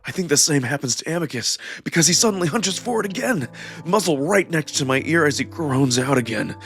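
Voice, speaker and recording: A man performing dramatic first-person narration from a scripted story, with expressive, emotional emphasis. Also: fairly high pitch, fairly quick, gravelly. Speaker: narrator and voice performer of a visual novel. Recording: music behind the voice. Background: music.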